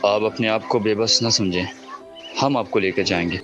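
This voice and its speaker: A resolute refugee leader who gives orders with quiet authority, as a man voices him. His voice sits a bit low, with music playing underneath this audio drama. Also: normal speed, natural voice. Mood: firm.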